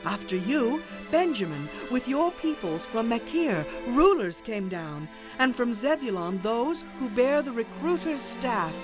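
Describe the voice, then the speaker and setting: Commanding female prophet, solemn, exultant, measured slow delivery, biblical audio drama, clear music behind. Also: on the high side, very animated, poor audio. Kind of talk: biblical radio-theatre dramatization, declamatory verse.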